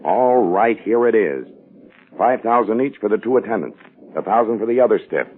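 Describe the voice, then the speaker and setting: Blunt crook, low voice, old radio. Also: male voice, animated, normal speed, husky. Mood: pressing. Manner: blunt, insistent. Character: hard-bitten, pragmatic male gang accomplice, pressing and persuasive. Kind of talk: 1940s radio crime acting. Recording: muffled, band-limited 1940s radio recording.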